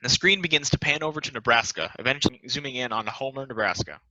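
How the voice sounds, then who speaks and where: A man narrates stage directions from a script. His voice sits at a middle pitch and is very bright and sharp, recorded close and dry. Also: fast, no room sound, poor audio.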